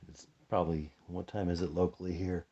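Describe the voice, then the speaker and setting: A slightly muffled home recording of an American man with a very deep voice. He sounds a bit sleepy and husky, talking in a casual, unhurried way as he thinks aloud through a demonstration. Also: little pitch movement, mellow. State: a little sleepy. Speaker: hobbyist demonstrating a shortwave radio. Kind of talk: casual hands-on demonstration chat. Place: indoors at a tabletop, close to the camera.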